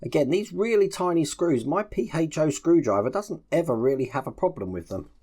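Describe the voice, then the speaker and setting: A man's voice: on the high side, animated, fairly quick, warm tone, breathy edge. Man giving a casual hands-on tutorial. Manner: chatty, explains each step as he works. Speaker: host of a model-building channel. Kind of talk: casual step-by-step build tutorial.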